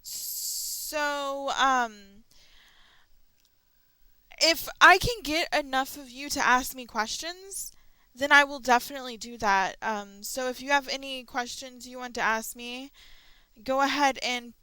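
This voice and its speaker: Young woman vlogger, rambling casual chat, bright crisp voice, dry close mic. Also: sits high, slow pace, dry recording, low-quality recording. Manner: chatty, rambling, frequent ums. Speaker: channel host. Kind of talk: casual audio log chat.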